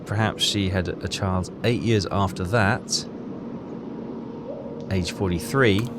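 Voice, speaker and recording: A man thinking aloud as he plays a puzzle game, talking in a halting, self-questioning way. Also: sits low, background noise. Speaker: let's player. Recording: loud game music behind voice. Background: game music.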